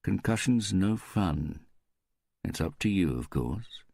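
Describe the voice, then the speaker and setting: A man's voice: deep voice, animated, slow pace, vocal fry, darkish tone, no room sound, clean studio sound, pitched above the performer's own voice, exaggerated voice. A man voicing a sardonic, teasing woman pathologist with a mischievous, wry manner in an audiobook. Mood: smirking.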